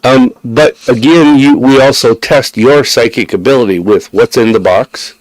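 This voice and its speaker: A man's voice: mid-range voice, expressive, normal speed, no room sound, poor audio. A man hosting a live psychic radio show, chatting in an easygoing way with many ums and restarts. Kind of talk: casual chat. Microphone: live-stream studio mic.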